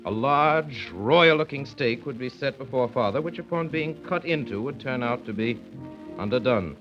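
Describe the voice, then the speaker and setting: A man's voice: mid-range voice, expressive, moderate pace, music in the background, natural voice. An actor playing a grown son who wryly recalls his childhood household as narrator of a 1930s radio play. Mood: fond, measured. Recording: dull vintage 1930s radio broadcast.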